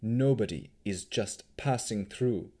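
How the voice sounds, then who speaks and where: A man's voice: on the low side, takes it slowly, mellow, husky. Man as a wary, firm adventuring woman. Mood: suspicious. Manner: firm, suspicious.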